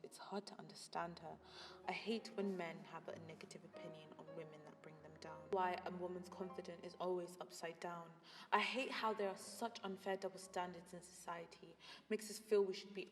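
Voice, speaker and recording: A teenage young woman with a fairly low voice speaks a scripted, confessional monologue, partly in rhyme. She addresses a friend in a reflective way. Her voice carries a long reverb, with music playing behind it. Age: teens. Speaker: student narrating an audio journal.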